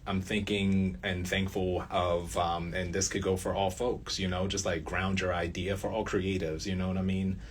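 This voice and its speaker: Deep-voiced man chatting casually as guest. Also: flat delivery, normal speed, few pauses. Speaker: radio play producer, interview guest. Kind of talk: casual interview chat. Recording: faint background noise.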